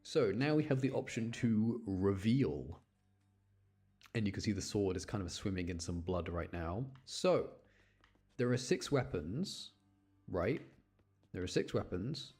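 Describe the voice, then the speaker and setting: A man streams a game in a fairly low voice. His commentary is casual and chatty, explaining things as he goes. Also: animated, measured pace, a little mellow, husky. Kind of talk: casual live gameplay commentary.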